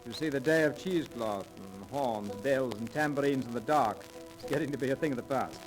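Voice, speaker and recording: An actor playing a learned, inquisitive professor, polite and formal, in a 1930s radio drama. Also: male voice, medium pitch, brisk, close to an everyday voice, rough recording. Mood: curious, learned. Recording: vintage radio recording with music.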